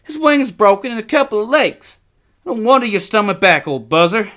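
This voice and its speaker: An excitable young boy from a storybook, acted out by a man. The voice is pitched high and sharp, with a taunting, exclaiming way of talking. He bickers like a brother out in the woods. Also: brisk, full character voice. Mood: teasing.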